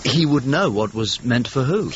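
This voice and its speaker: A man's voice: medium pitch, animated, normal speed, close to an everyday voice. An actor playing a subdued, searching male traveller in a radio drama, speaking in brief, probing phrases. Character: subdued, searching male traveller inquiring after a dead painter. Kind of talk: naturalistic radio drama acting. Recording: muffled recording with clear background noise.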